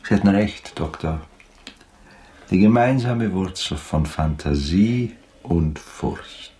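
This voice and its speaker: An actor playing a shaken, brooding, formal baron in a radio play, speaking haltingly. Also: male voice, low voice, lively intonation, dark tone. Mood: brooding, haunted. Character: shaken, brooding baron, weak after nearly dying.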